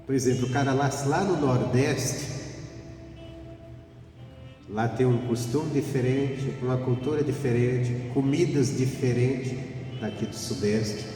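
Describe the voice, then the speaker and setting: A man's voice: medium pitch, measured pace, dark tone. A middle-aged man preaches informally as a pastor, telling anecdotes conversationally and repeating phrases for emphasis. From rural Pernambuco, Northeast Brazil. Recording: echoey hall, distant mic, faint music.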